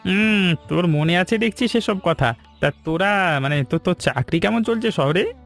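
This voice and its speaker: A man's voice: high voice, expressive, normal speed, creaky, fairly bright tone, soft background music, exaggerated voice. A man playing a young village shop owner in a cartoon story, speaking familiarly and hospitably to an old friend. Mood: friendly, welcoming.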